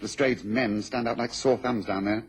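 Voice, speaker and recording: An actor playing a keen, self-assured Victorian gentleman detective in a radio drama, brisk and emphatic, with a British accent and a husky voice. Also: medium pitch, steady tone, close to an everyday voice. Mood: pleased, assured.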